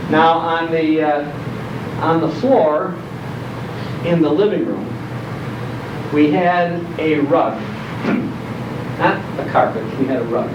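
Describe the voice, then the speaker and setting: An older man with a Chicago accent gives an informal, folksy talk to an audience, reminiscing in descriptive detail with emphatic pauses. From Chicago area, United States. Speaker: guest speaker, nostalgia storyteller. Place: indoor program venue, recorded from a distance. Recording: echoey room, distant mic, dull, noisy rumble.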